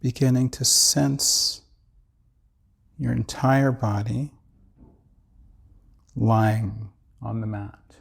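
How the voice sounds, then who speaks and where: Male meditation guide: mid-pitched, gravel-edged, slow and measured, soothing delivery with plenty of space between phrases. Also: steady tone. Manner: measured, soothing, with long pauses. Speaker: meditation teacher. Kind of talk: guided body scan meditation.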